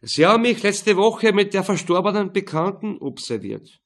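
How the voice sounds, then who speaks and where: A man's voice: high-pitched, sing-song, normal speed, pitched above the performer's own voice, exaggerated voice. A man voicing a persistent, dry police detective in an audiobook, terse and probing. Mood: pressing.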